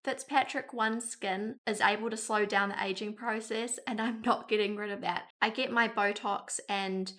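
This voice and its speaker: A woman's voice: mid-range voice, steady tone, normal speed, hardly any pauses, a bit bright. A woman in her thirties with a New Zealand accent, talking frankly to camera in a casual beauty vlog. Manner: chatty and frank, with wry asides. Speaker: beauty vlogger.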